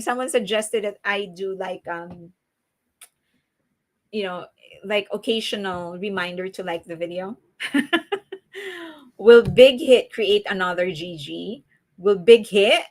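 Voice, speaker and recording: A woman's voice: medium pitch, a bit bright. A woman with a Filipino accent hosting a live stream, chatting casually with her viewers, laughing often and restarting her sentences. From Philippines. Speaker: livestream host.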